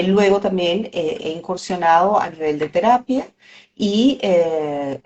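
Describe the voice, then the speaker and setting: A woman with a Venezuelan accent, a psychologist guest speaking thoughtfully on a video call, pausing often with "eh". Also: on the low side, lo-fi sound. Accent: Venezuelan Spanish. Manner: warm, thoughtful, with many "eh" pauses. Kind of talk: interview over a video call.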